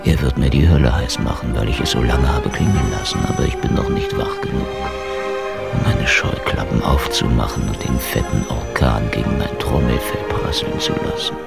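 An actor playing a world-weary, sarcastic private detective from Soviet times in a radio drama, with a dry, laconic delivery. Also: male voice, extremely low pitch, moderate pace, gravelly, loud music behind the voice, exaggerated voice. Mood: wry, weary. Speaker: first-person narrator and lead character. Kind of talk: noir radio drama monologue and dialogue.

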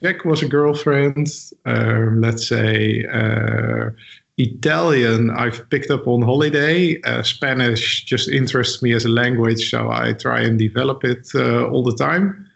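A man whose first language is Dutch, answering interview questions in English. His voice has a gravelly edge, and he talks haltingly, full of fillers and restarts. The audio sounds dull and lo-fi. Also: mid-range voice, fairly even intonation, fairly bright tone. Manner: hesitant, many fillers and restarts. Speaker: interview guest. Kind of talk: casual interview conversation.

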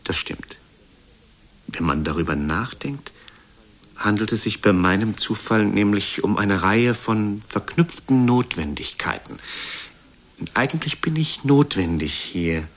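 Slow-spoken, courtly aging gentleman adventurer. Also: fairly low pitch, animated. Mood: flustered, breathless. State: out of breath. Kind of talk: German radio play acting.

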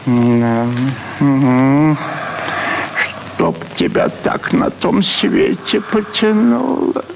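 An actor playing a suffering, cantankerous churchman with a toothache in a radio play, moaning and scolding in drawn-out words. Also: fairly high pitch, sing-song, creaky, full character voice. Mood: angry, groaning. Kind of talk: theatrical radio play acting. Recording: muffled, noisy old radio recording.

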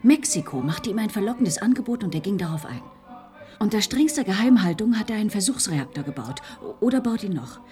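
A woman's voice: medium pitch, very animated, brisk, warm tone, faint music behind, lightly acted. An actress playing a well-informed, composed woman investigator in a radio play. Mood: briskly factual. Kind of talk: German radio play acting.

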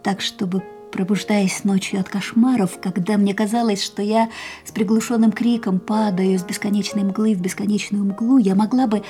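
A woman's voice: medium pitch, fairly even intonation, moderate pace, warm tone, natural voice. An actress playing a restless, dreamy, haunted young woman in an intimate radio drama. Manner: introspective, literary, dramatic delivery. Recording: radio play with music underneath. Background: music.

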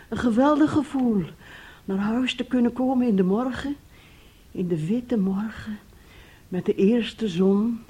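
A woman's voice: mid-range voice, warm tone, breathy edge. An actress in a radio play voicing a despairing middle-aged mother, with a brooding, anguished way of speaking. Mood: weary, wistful. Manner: slow, brooding, anguished storytelling. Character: despairing mother, an aunt, anguished over her missing son. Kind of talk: Dutch-language radio play acting.

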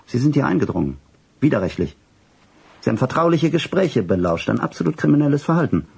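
Husky, pompous government official. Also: male voice, mid-range voice, big pitch swings, fast talker, a little mellow, exaggerated voice. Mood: indignant. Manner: pompous, formal, easily affronted. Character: stuffy, indignant male senior government official. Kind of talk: radio drama acting.

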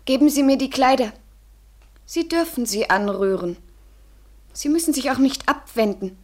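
A fairly high female voice, a proud young lover who is hurt and bitter. She speaks intimately and fragilely, in classic radio drama style. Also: animated, moderate pace. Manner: swings between breathy murmurs and sharp reproach.

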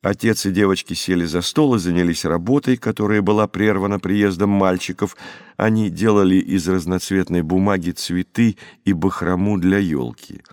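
Low-voiced man narrating, dry sound. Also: steady tone, normal speed, darkish tone, dry recording. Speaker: audiobook narrator. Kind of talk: scripted literary narration.

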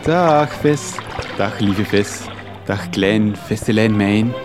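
A man's voice: fairly low pitch, slow pace, music in the background. A man with a Flemish accent playing a naive, pompous young journalist in a comic radio drama, declaiming lyrically. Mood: melodramatic, dreamy.

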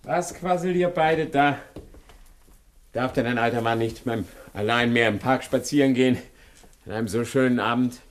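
A man's voice: mid-range voice, normal speed, close to an everyday voice. An actor playing a confused, cheerful old father in a German radio drama, rambling and dismissive. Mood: mildly indignant. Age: older.

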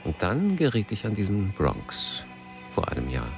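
Brooding middle-aged romantic poet, radio drama, really low creaky voice, music behind. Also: male voice, huge pitch swings, heavily acted. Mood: bitter, reflective. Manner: brooding, literary, deliberate phrasing. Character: melancholy, jealous middle-aged romantic poet and embittered lover. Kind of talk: radio drama narration and intimate dialogue.

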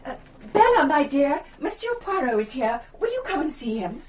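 Flustered English spinster, very lively pitch, gushing, dithering, well-meaning, radio drama, slight background noise. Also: female voice, normal speed. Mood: fussing.

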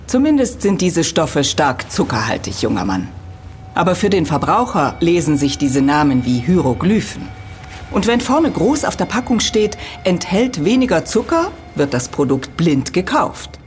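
A woman's voice: lowish voice, big pitch swings, normal speed, darkish tone, soft background music. A woman playing a middle-aged professor in an audio drama, lecturing precisely and patiently correcting others with firm emphasis. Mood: didactic. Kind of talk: German audio drama dialogue.

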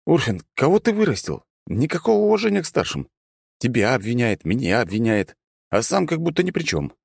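Male reader as audiobook hero, sarcastic and argumentative, raised pitch, close dry recording. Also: sing-song, brisk, no echo, natural voice. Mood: mocking. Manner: mocking, argumentative.

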